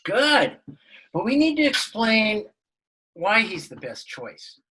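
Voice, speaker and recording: An actor reading a bewildered, easygoing suburban dad, puzzled and hesitant. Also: male voice, high voice, wildly swooping pitch, takes it slowly, pushed and bright, a little hiss or hum, full character voice, low-quality recording.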